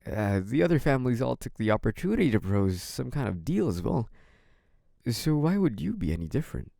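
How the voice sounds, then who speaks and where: Man acting a young mafia heir, creaky and expressive. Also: mid-range voice, brisk, no echo, studio quality. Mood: polite, probing. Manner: hesitant and apologetic act, turning smug and taunting. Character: young mafia heir, feigned naive politeness hiding cunning, possessive menace. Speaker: audio roleplay performer. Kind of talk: dramatic first-person audio roleplay.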